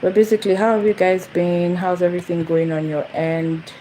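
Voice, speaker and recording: A woman's voice: on the low side, little pitch movement, a little fast, dark tone, slight background noise, poor audio. A young woman from Nigeria hosting a casual phone livestream, rambling and repeating herself as she greets viewers. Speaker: channel host. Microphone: phone camera.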